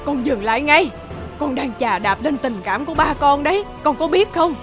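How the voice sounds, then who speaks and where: A woman's voice: high voice, lively intonation, moderate pace. A woman voicing a caring, firm middle-aged aunt in a cartoon. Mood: urgent reproach. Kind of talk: cartoon voice acting. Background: prominent music.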